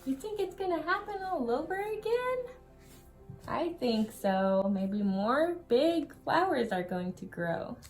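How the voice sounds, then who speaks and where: A woman reading a picture book aloud to young children in an expressive storytelling manner. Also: high voice, slow pace, soft background music. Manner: expressive, with exclamations and questions to young listeners. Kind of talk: children's read-aloud narration.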